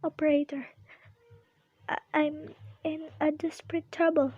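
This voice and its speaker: A woman's voice: high-pitched, flat delivery, a little slow, mellow, no echo, dull audio. A young woman in an amateur radio play acting a nervous, demanding invalid wife, agitated and stammering. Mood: terrified, pleading. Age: young adult.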